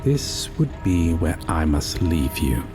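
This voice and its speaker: A man's voice: deep voice, big pitch swings, takes it slowly, heavily acted. A man playing an enigmatic, deferential seer in an audio drama, speaking formally and cryptically. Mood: cryptic, ominous. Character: enigmatic, deferential male seer who knows the future. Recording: clear background music under the voice.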